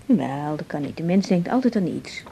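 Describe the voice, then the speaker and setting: Mellow, hesitant young mother. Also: sits low, expressive, normal speed, slight background noise, rough recording. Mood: musing. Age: young adult. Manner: hesitant, repeats words. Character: young adoptive mother, tender, hesitant, guilt-ridden. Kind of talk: 1980s radio drama acting.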